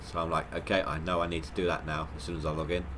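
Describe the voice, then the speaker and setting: A man's voice: extremely low pitch, level tone, quick pace, background noise. A man with a head cold, sounding nasal, giving rambling, stop-start commentary on his gameplay livestream. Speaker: gaming livestreamer.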